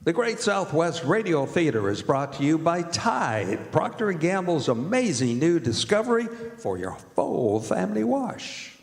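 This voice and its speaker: A man's voice: on the high side, big pitch swings, measured pace, vocal fry, hardly any pauses. A man working as announcer in the style of old-time radio, reading credits and sponsor copy. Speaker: announcer and director. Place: performing arts center stage. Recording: echoey hall with music.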